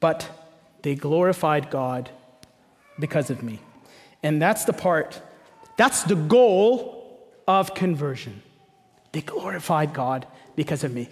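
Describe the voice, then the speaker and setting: A man's voice: on the high side, lively intonation, takes it slowly, long pauses. A man from Egypt preaching a sermon as a pastor, conversational, with emphatic repetition and rhetorical questions. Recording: long hall reverberation.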